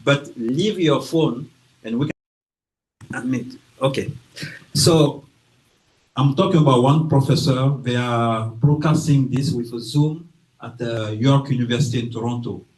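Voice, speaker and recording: A man's voice: medium pitch, a little mellow, poor audio. Man from Guinea with a French accent. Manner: slow, careful delivery with hesitations and acted-out quotes. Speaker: author presenting his book. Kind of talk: informal talk to an audience. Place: library event room.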